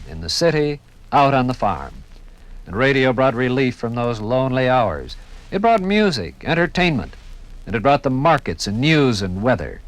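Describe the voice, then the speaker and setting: An American man narrating a scripted 1950s radio documentary in dramatic, rhetorical phrases that build deliberately. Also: medium pitch, low-quality recording. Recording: vintage 1950s radio program recording.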